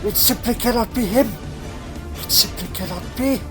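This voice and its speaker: A man's voice: very high voice, normal speed, music in the background. A man playing a crotchety, dry-witted elderly time traveller in a fan audio drama, fussy and full of questioning hums. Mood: wry, uneasy. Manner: fussy, punctuated with questioning hmms.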